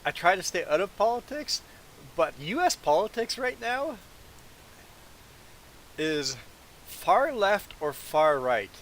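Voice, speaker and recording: A man's voice: high voice, takes it slowly, pauses often. A man with a sniffly nose chatting casually on a live stream, talking in a rambling, conversational way. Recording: steady background hiss. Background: hiss.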